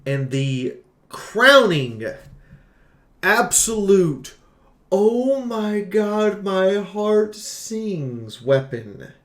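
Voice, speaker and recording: Man, game reviewer, slow-paced, casual and jokey, emphatic exclamations, roomy echo. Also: high-pitched, sing-song, a bit bright. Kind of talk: casual scripted game review with ad-libbed commentary.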